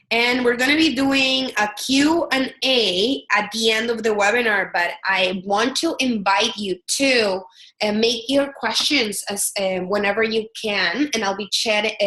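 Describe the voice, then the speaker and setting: A woman's voice: fairly high pitch, fairly bright tone, roomy sound, low-quality recording. A woman in her thirties from El Salvador with a Salvadoran Spanish accent, giving an earnest webinar presentation as a product strategist, with frequent fillers and restarts.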